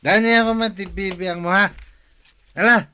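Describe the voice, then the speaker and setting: A man's voice: sits high, steady tone, normal speed, bright, forceful tone, clear, resonant tone. A man acting a brash, argumentative son with an Ilocano accent in a radio comedy drama. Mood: defensive. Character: brash, argumentative grown son defying his father.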